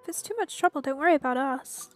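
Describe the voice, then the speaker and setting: A woman game streamer with a high voice talks casually as she plays along. Faint game music runs behind her. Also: quick pace. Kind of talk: casual reactions while playing a game.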